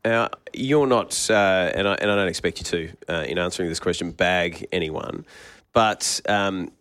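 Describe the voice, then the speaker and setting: A man's voice: low-pitched, moderate pace, a bit bright, no echo. A man asking long, probing interview questions, hesitant as he searches for words.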